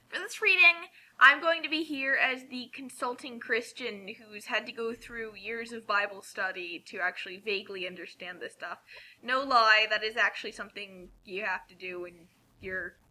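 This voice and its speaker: A woman giving casual commentary on a reading, explaining things in a halting, conversational way. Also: mid-range voice, steady tone, pushed and bright, rough recording. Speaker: co-host of a group fanfiction reading.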